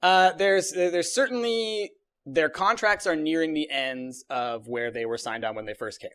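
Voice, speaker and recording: A man's voice: on the high side, unhurried, a bit bright. A man co-hosting a podcast, explaining things informally in casual group chat. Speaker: podcast co-host.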